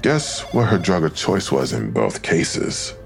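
Police detective, very deep pitch, gravelly fry, suspicious, dry, naturalistic drama. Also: male voice, sing-song, slow pace, over music, full character voice. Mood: dry, insistent. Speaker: actor in a fiction podcast. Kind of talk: naturalistic audio drama acting.

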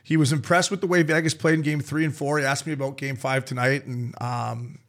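A man co-hosting a podcast with a raspy voice, telling stories fast and full of swearing, acting out other people's lines. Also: mid-range voice, little pitch movement, a bit bright. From Canada. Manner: fast, profane storytelling with voiced quotes. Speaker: podcast co-host. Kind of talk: casual chat.

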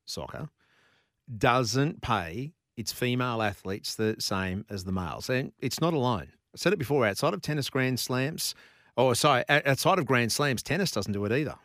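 A man's voice: mid-range voice, animated, moderate pace, fairly bright tone, no room sound, studio quality. Australian male sports radio host. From Brisbane, Australia. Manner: conversational, rhetorical questions. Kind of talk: talkback radio monologue.

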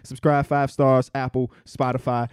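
A man's voice: mid-range voice, little pitch movement, normal speed, a little mellow, clean tone, dry recording, clean studio sound. A man co-hosting a podcast with casual, teasing, colloquial talk. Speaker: podcast co-host. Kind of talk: casual chat.

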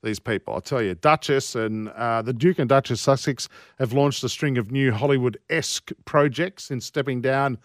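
A man's voice: mid-range voice, normal speed, dry recording, studio quality. A man co-hosting a radio show, reading out news stories in casual, teasing banter. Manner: teasing, cheeky, jokey. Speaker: radio co-host.

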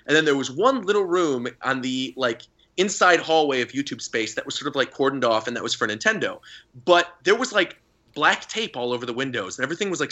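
A young man co-hosting a podcast, telling stories with excitement and laughing often. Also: mid-range voice. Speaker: podcast co-host. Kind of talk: casual podcast chat.

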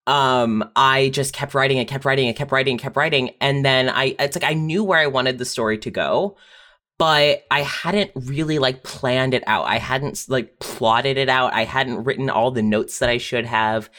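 A writer speaking as a podcast guest, chatting casually and in an animated, self-deprecating way about their own experience. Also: mid-range voice, normal speed. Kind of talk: casual panel chat, telling own experience.

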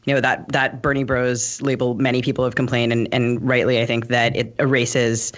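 A man's voice: medium pitch, flat delivery, normal speed, a bit bright. A man co-hosting a casual interview, talking in a discursive way and often qualifying his own points. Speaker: podcast co-host interviewer. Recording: dull lo-fi sound.

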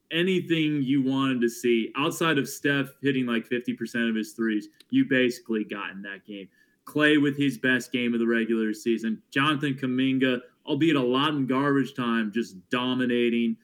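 Stat-heavy man breaking down basketball. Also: mid-range voice. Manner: stat-heavy, quick self-corrections. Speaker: team broadcaster and podcast guest. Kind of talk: casual sports analysis chat.

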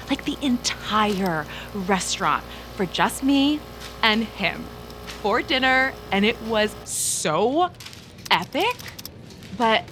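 An actress playing a breezy, self-absorbed young office gossip in an audio drama, gushing and slangy with drawn-out emphasis. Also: female voice, mid-range voice, gravelly, background noise. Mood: self-absorbed, boastful. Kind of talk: scripted audio drama acting.

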